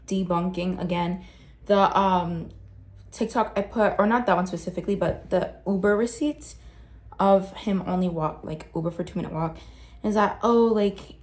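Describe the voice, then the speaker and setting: A young woman doing casual commentary, blunt and full of "like" fillers, her pitch jumping up when she gets worked up. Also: a little mellow. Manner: blunt, swears, many "like" fillers. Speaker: vlogger.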